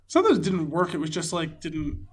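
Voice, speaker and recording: A man's voice: a little high, very animated, brisk, slightly rough. A man co-hosting a podcast in casual, informal movie chat, acting out little quotes as he goes. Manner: informal, acts out lines as quotes. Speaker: podcast co-host.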